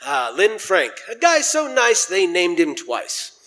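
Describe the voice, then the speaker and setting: A man's voice: sits high, wildly swooping pitch, slow, sharp tone. A man hosting a live radio show, announcing in a showy, jocular old-time style in a church hall. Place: large church, live stage performance.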